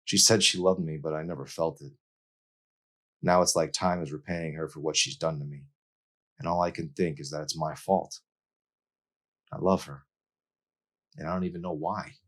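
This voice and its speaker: Man playing a guilt-ridden teenage boy, earnest, defensive, deep pitch, audiobook dialogue. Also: fairly even intonation, normal speed, long pauses, fairly bright tone. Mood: heavy, confessional.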